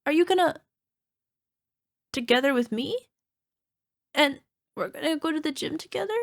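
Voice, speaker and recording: A woman's voice: high voice, animated, normal speed, vocal fry. A woman playing an insecure, self-conscious cyclops monster girlfriend in an ASMR roleplay, talking in a dramatic, playfully exasperated way. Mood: anxious, touched. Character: insecure chubby flying purple people eater girlfriend, a cyclops monster. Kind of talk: ASMR roleplay acting, conversational.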